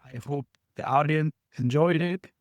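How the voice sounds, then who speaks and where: A man hosting a podcast, enthusiastic and warm in his praise as he wraps up the conversation. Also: a little high, moderate pace, no echo. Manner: enthusiastic, wrapping up with thanks. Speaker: podcast host.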